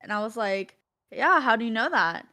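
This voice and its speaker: A woman's voice: fairly high pitch, expressive, moderate pace, pushed and bright. Young woman streaming and yakking, laughs a lot, rambly, just chatting with friends. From Connecticut. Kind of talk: casual chat while gaming.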